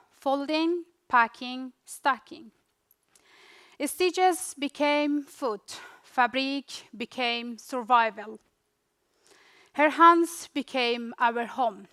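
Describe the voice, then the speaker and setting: A young Afghan woman with a Dari-speaker's accent gives a rehearsed talk about her own life. Her voice sits high, and she lets each short phrase land in its own slow, unhurried beat. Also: a bit bright, clear, resonant tone. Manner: slow, deliberate delivery in short dramatic phrases with pauses. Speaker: refugee speaker. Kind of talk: rehearsed personal storytelling speech.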